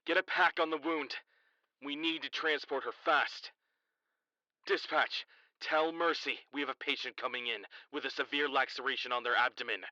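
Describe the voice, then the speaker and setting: A man's voice: medium pitch, steady tone, normal speed, sharp tone, dry recording, lo-fi sound. A man playing a commanding paramedic. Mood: urgent. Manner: clipped, commanding. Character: urgent male paramedic team lead. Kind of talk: audio drama acting.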